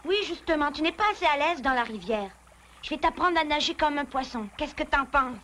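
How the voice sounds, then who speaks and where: Young cartoon beaver: high-pitched, brisk, kind and reassuring. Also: female voice, expressive, sharp tone, slight background noise. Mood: encouraging. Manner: reassuring, instructive. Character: kind, plucky young beaver, helpful to friends. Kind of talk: French animated children's cartoon acting.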